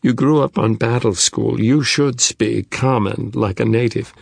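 Man reading as dry, self-assured young leader, gravelly. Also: medium pitch, no room sound. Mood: dry challenge. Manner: dry, articulate, measured. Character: Peter, self-assured young male world leader, dryly skeptical. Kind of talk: audiobook character dialogue.

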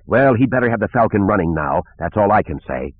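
A man voicing a gruff, determined, roguish husband and father who speaks curtly and urgently, in an audiobook. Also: fairly low pitch, animated, brisk, no room sound. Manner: curt, forceful. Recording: narrow phone-like band.

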